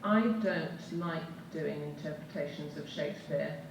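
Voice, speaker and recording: A woman's voice: low voice, steady tone, measured pace, creaky. Firm woman director in an echoey hall. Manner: emphatic, firm opinions. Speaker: theatre director. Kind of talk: panel discussion. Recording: echoey hall from far off, noisy.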